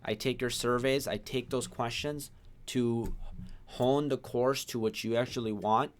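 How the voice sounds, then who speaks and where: A man's voice: medium pitch, fairly even intonation, a little slow. A young man born in Pakistan, hosting a casual livestream Q&A for his online course, reading viewer names and questions aloud.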